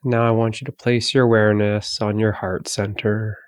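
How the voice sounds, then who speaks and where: A man leading a guided meditation, giving calm, soothing, gentle instructions with long pauses between phrases. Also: fairly low pitch, clear, resonant tone, no room sound.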